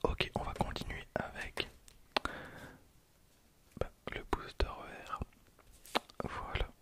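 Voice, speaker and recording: A young man speaks entirely in a breathy, voiceless whisper, as an ASMR host would. He is close to the microphone, softly commenting in an unhurried way and often repeating his phrases. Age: 20s. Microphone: close handheld-style stereo recorder.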